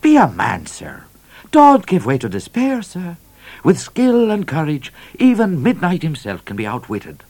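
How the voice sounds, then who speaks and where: A man's voice: on the high side, wildly swooping pitch, unhurried, a little mellow, voice pushed up, exaggerated voice. A man playing a smiling, boastful trooper in a storybook, obsequious and declaiming boldly to his superior. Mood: eager reassurance. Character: smooth smiling boastful male trooper, eager to please.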